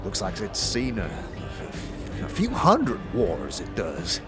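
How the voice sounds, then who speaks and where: A man playing a sickly old ex-warrior in a fantasy audio drama, with a gravelly wheeze, stammering, chuckling folksy slang. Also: fairly low pitch, wildly swooping pitch, takes it slowly, exaggerated voice. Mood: grumbling, musing. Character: sickly old ex-warrior tavern drinker, wry and grumbling. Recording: music under the voice.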